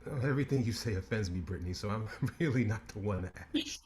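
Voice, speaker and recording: An actor in a staged play reading, playing a sharp-tongued male lawyer who trades quick, teasing comebacks and turns grave and reflective. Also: on the low side, background noise, close to an everyday voice. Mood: needling, wry.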